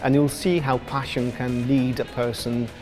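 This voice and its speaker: A man hosting a company interview series, upbeat and quick to react to his guest. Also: mid-range voice, level tone, music in the background. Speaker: interview host.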